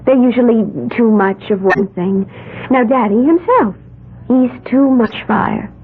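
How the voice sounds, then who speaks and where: Radio drama, eccentric young dreamer: high, gentle, earnest; narrow old broadcast sound. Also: female voice, unhurried, slightly rough. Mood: matter-of-fact, gentle. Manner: earnest, gentle, otherworldly musing. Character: dreamy, eccentric young woman, self-styled priestess of the elements. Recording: thin, band-limited old radio recording with hiss.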